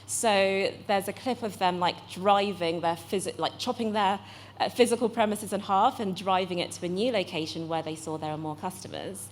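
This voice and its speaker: A woman's voice: mid-range voice, fairly even intonation, moderate pace, a little hiss or hum. A British woman in her forties, a guest speaker, explaining in a chatty way and correcting herself as she goes. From United Kingdom. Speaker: guest speaker, accelerator managing director. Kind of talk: fireside chat on a panel stage.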